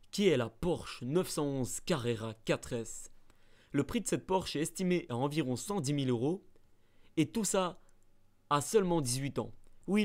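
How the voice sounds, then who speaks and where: Male narrator, slightly high, playful scripted voice-over. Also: a little mellow. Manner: playful, mock-dramatic asides. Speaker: narrator of a ranking video.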